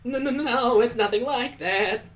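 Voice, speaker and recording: A man's voice: very high-pitched, normal speed, a bit bright, voice pushed up. A man voicing a flustered, sweet-toothed girl, a big sister to orphans, in a visual novel read-through.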